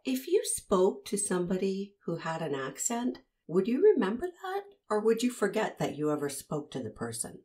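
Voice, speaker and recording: A woman's voice: mid-range voice, big pitch swings, normal speed, hardly any pauses, warm tone. A woman hosting a commentary, analyzing an interview and pressing the listener with pointed rhetorical questions. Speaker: host analyzing interview footage.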